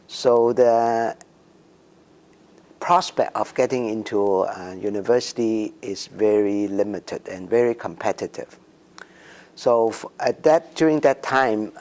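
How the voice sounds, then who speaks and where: Slow-speaking man in his sixties, gravelly. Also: fairly low pitch, a little mellow. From Macau and Hong Kong. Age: 60s. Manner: slow, hesitant, many ums and restarts. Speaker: interviewee. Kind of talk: oral history interview.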